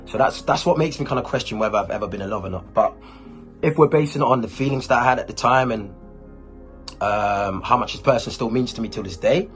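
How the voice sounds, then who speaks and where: A man's voice: mid-range voice, fast, faint music behind. A man from Germany with Ghanaian roots, a YouTuber talking casually and informally, with urban slang, as he answers questions to camera. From Ghanaian, born and raised in Germany. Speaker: YouTuber, host.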